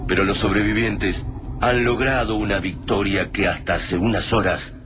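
A man's voice: fairly low pitch, fairly even intonation, normal speed, close to an everyday voice. A man with an Argentine accent performing as the grave, poetic narrator of a radio drama about war. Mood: solemn. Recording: noisy ambience behind the voice.

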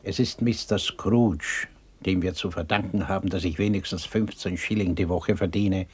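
Gentle father, low husky voice. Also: steady tone, normal speed. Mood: earnest. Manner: mild, tender, hesitant. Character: gentle, weary, kind-hearted poor father. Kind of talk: 1960s German radio play acting.

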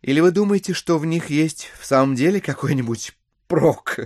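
An actor playing a scheming, smooth-talking gentleman swindler in a classic audio drama, insistent, wheedling and easily exasperated. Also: a little high, normal speed, dry recording, studio quality, lightly acted. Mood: persuasive, insistent. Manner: insistent, wheedling, theatrically emphatic.

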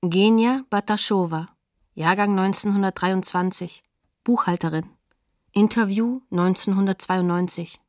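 An actress as a neutral announcer, naming witnesses and sources in a scripted radio documentary. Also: female voice, mid-range voice, measured pace, darkish tone, dry recording, studio quality, lightly acted. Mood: neutral, factual.

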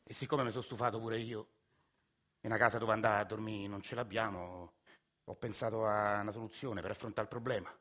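A man, narrating and acting his own story in a radio theatre monologue, soft-spoken and hesitant. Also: fairly low pitch, steady tone, brisk. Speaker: narrator and lead. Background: music.

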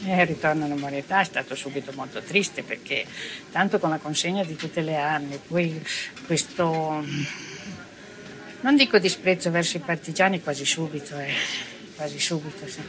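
An older man gives oral testimony about his own past. His voice is a bit high-pitched for a man. There is slight noise behind him. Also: moderate pace. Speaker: former partisan giving testimony.

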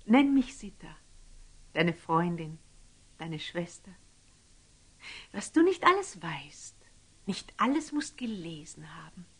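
An actress playing a gracious, playful, sisterly princess in a classical radio drama, coaxing and expressive. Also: female voice, lowish voice, takes it slowly, long pauses, breathy edge, full character voice. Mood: affectionate, coaxing.

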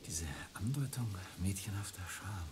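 An actor playing a reserved, ironic gentleman in a radio play. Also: fairly low pitch, expressive, a little slow, background noise. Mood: wry, tender.

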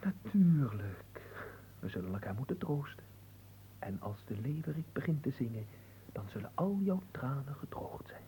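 An actor playing a lovelorn young manservant in a radio play, florid, evasive and poetic, stammering in melodramatic sorrow. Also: male voice, medium pitch, very animated, normal speed, mellow, slight background noise, poor audio. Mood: soothing, coaxing.

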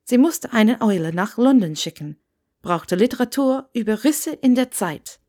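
A woman's voice: fairly high pitch, expressive, measured pace, warm tone. A woman reading scripted fiction aloud as an audiobook narrator.

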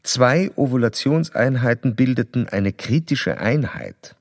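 An actor playing a self-assured psychoanalyst in a radio drama, speaking in measured, formal, didactic sentences. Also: male voice, medium pitch, expressive. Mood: formal, reflective. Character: male psychoanalyst doctor, clinical, probing, self-assured. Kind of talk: radio drama dialogue and letter monologue.

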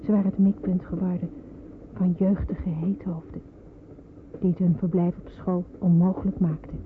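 An actress playing a daughter who narrates her family's story in a radio drama, measured and reflective. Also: fairly low pitch, flat delivery, close to an everyday voice. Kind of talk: scripted first-person radio drama narration. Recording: noisy, phone-like old recording, vehicle sounds.

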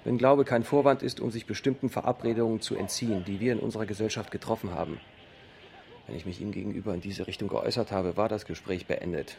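Formal, stern middle-aged father in radio play, fairly deep, slight background noise. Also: vocal fry, a little mellow. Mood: bitter, frustrated. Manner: formal, measured wording. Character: stern, educated father of a rebellious teenager. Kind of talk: naturalistic radio drama, interview testimony.

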